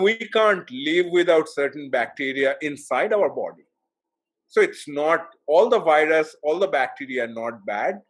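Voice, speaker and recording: Thoughtful man panelist, slightly high voice. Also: a bit bright. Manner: thoughtful, halting with fillers. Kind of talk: online panel discussion. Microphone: video call.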